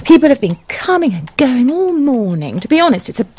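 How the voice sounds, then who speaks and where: An actress playing a snobbish, fluttery society wife in a period radio drama, with a gushing, theatrical, breathy delivery. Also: female voice, sits high, very animated, normal speed, darkish tone, a little hiss or hum. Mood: exasperated, gushing. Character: fluttery, snobbish society wife, doting mother, cold stepmother.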